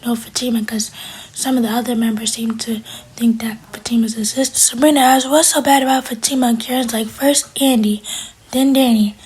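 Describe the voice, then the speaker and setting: A woman's voice: fairly high pitch, fairly even intonation, brisk, a little mellow, husky. A woman whispering her way through a casual recap of a TV episode.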